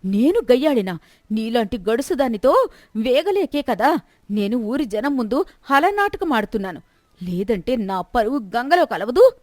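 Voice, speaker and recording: A sharp-tongued older mother-in-law played by a woman narrator from a village storybook. She is theatrical and two-faced, in a fairly high voice recorded dry. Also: big pitch swings, unhurried, dry recording. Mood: angry scolding. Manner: theatrical, scolding or syrupy.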